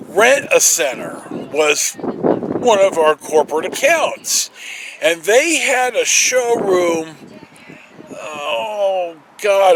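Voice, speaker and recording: A middle-aged man telling a casual anecdote in a rambling, halting way, often repeating words and losing his thread. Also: high-pitched. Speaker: storytelling vlogger, former alarm company guard. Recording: clear outdoor noise, passing traffic.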